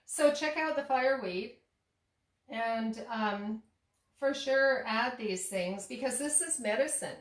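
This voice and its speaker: Rambling woman in an echoey kitchen. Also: a little high. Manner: rambling, many restarts and fillers; laughs at herself. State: slightly hoarse, losing voice. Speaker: tea shop owner and cooking vlogger. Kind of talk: casual kitchen demo chat. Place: home kitchen.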